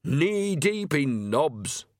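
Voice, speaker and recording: A shrill, cheeky old witch who gets loud and excited, put on by a man. Her voice jumps high and slow, all brash attitude. The recording is close and dry. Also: dry recording, full character voice. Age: older. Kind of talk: audiobook character voice.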